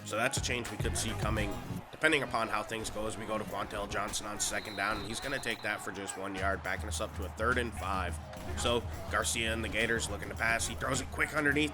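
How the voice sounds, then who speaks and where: A man, sick and a bit nasal, giving excitable play-by-play commentary over a football video game. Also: on the low side. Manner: excitable, rising to shouts on big plays. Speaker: gaming YouTuber.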